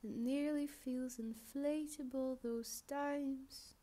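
A woman voicing a lonely, wistful, apologetic balloon in a poetic monologue, with halting, deliberate phrasing and long pauses. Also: high voice, fairly even intonation, mellow. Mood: wistful, musing. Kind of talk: slow poetic performance monologue.

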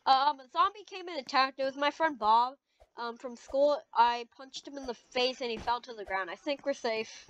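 An excitable gamer improvising a casual, dramatic video game roleplay. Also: high-pitched, moderate pace. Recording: dull lo-fi mic with clear background noise.

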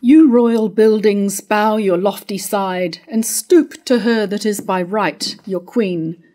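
A woman's voice: mid-range voice, slow pace, dark tone, natural voice. A middle-aged woman playing a proud, bitter discarded royal wife, declaiming verse in a staged reading. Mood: proud, aggrieved. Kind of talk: declaimed early modern verse monologue. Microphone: stand mic at lectern.

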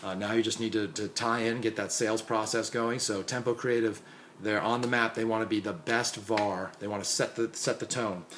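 A hoarse, exhausted young man, a marketing consultant, chatting casually through a recap vlog with lots of fillers. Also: lowish voice, fairly even intonation, normal speed, a little hiss or hum. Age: young adult. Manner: chatty, filler-heavy shout-outs. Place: small dim room indoors.

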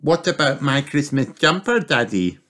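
Man putting on a high-pitched little girl pig. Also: sing-song, moderate pace, a bit bright. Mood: pleading. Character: excited little girl pig, sweet and eager. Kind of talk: children's storybook read-aloud.

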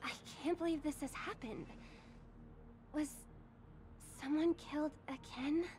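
An actress playing a gentle, worried young woman in a video game. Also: sits high, a little slow, noisy background.

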